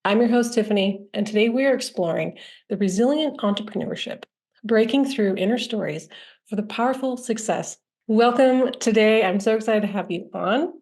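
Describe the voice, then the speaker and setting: A woman hosting a podcast, reading her show opening and asking questions, with soft encouraging backchannel. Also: a little high, normal speed. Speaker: podcast host. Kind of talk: scripted podcast intro and interview questions.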